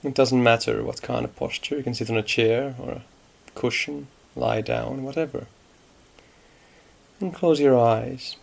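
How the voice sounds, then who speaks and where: A man guiding a meditation in a calm, unhurried manner, leaving long pauses between phrases. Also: mid-range voice, vocal fry. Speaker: meditation guide. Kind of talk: guided meditation, unscripted instruction.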